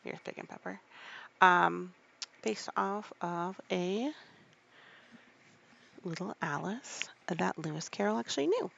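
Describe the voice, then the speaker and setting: A woman reading aloud in a drowsy, soft-spoken, rambling bedtime style, with casual asides. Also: on the low side, slow pace, vocal fry, pauses often, highs cut off.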